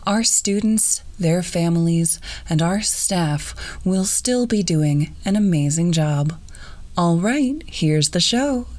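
Woman school librarian, low soothing voice, very slow, calm. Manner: deliberately calming, soothing voice. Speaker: school librarian introducing the show. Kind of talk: guest show intro.

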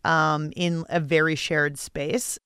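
A woman's voice: deep voice, level tone, slow pace, a bit bright, clear, resonant tone, dry recording, studio quality. A woman hosting a casual interview, chatting with her guests. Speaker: interviewer, host.

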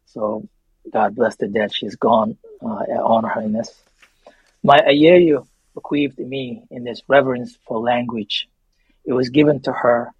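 A man's voice: mid-range voice, measured pace, husky, no room sound, highs cut off. A man with a Somali accent, a native Somali speaker, talking reflectively as an interview guest, earnest and emphatic, often repeating his phrases. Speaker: interview guest, memoir author.

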